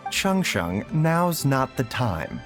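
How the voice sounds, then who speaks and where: A man voicing a gentle, composed doctor in a video game, speaking with polite, formal phrasing. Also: medium pitch, sing-song, slow pace, soft background music. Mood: calm, courteous.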